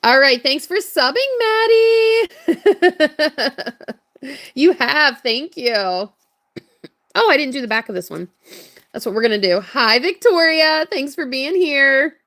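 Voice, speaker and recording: High-voiced hoarse woman chatting on a livestream. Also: lively intonation, slow pace, pauses often, fairly bright tone. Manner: chatty, upbeat, laughs often. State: hoarse, voice strained from hours of talking. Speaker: livestream crafter and shop owner. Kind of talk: casual livestream chat greeting viewers.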